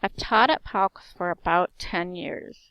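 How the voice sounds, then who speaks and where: A woman's voice: fairly low pitch, unhurried, dry recording, low-quality recording. A woman in her forties, a teacher, introducing herself in a strong voice that carries, with a slight slur on her S sounds. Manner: lists things in short even phrases. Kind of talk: personal self-introduction monologue.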